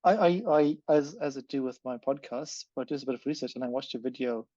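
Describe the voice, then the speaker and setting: A male podcast host talks quickly in casual chat, often repeating words and restarting his sentences. His voice is mellow and warm at a middle pitch. The recording is dry and a little dull and lo-fi. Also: dry recording. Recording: dull, slightly lo-fi.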